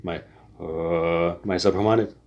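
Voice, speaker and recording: A man's voice: low voice, fairly even intonation, unhurried, darkish tone, dull audio. A young man giving casual singing tips, rambling with many restarts and fillers. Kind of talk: casual spoken tutorial.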